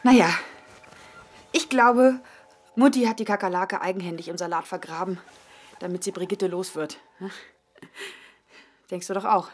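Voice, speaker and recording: A woman's voice: mid-range voice, fairly even intonation, normal speed, pauses often, lightly acted. An actress playing a young German woman who whispers tender stories to her comatose lover in a radio drama. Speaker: radio play character.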